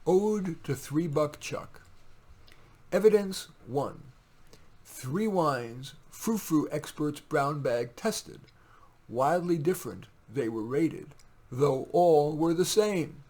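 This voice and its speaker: Man, slightly high-pitched, husky, wry poetry reading, informal asides. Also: lively intonation, slow pace, darkish tone. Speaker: author reading his own poems.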